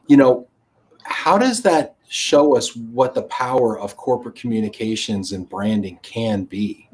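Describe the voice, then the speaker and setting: A man's voice: medium pitch, unhurried. A man hosting a live-streamed interview, chatty and effusive, who reads viewer comments aloud and riffs on them.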